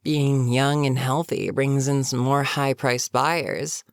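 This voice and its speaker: A voice actor playing a bitter, menacing goblin captain in a fantasy audio roleplay, speaking to a captive with sardonic, unhurried menace. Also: mid-range voice, flat delivery, gravelly, smooth, no echo, clean studio sound. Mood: smug, matter-of-fact. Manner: sardonic, unhurried, matter-of-fact threats. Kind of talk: scripted fantasy audio roleplay, addressing the listener.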